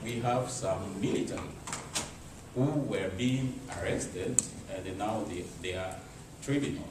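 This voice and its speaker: Congolese guy speaking up from the audience, halting english, super echoey and noisy. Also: fairly low pitch. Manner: halting, non-native phrasing. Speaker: embassy representative commenting from the audience. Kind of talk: impromptu formal comment. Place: meeting room, speaking without a microphone. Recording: very echoey, distant, clearly noisy room.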